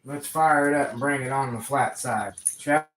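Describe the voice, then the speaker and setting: A man's voice: medium pitch, normal speed, fairly bright tone. A man chatting casually to viewers as he hosts a hobbyist radio livestream. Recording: clearly noisy live stream with clicks.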